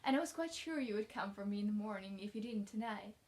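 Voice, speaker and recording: A woman's voice: medium pitch, expressive, quick pace, darkish tone, natural voice. A woman voicing a talkative, imaginative young orphan girl from a storybook, effusive and dreamy. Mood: eager, chatty.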